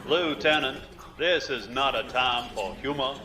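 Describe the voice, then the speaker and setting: An actor playing a stern, solemn presiding judge of a military tribunal in a science fiction audio drama. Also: male voice, medium pitch, a crowd in the background, natural voice. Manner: solemn, formal, deliberate.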